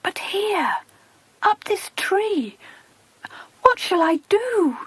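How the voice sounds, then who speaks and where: A woman voicing excitable, earnest children on an adventure in an audiobook. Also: extremely high pitch, extremely slow, no room sound, exaggerated voice. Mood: shocked. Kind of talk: audiobook character dialogue.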